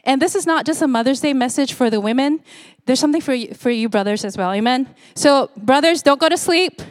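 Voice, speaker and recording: A woman's voice: sits high, brisk, dry recording, studio quality. Singapore-raised woman preaching conversationally. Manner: conversational preaching with fillers and restarts. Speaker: pastor. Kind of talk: sermon.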